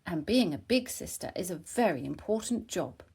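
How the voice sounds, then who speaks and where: A woman voicing a kindly old grandfather pig in a storybook, gentle and encouraging. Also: on the low side, animated, moderate pace, gravelly, slightly rough, full character voice.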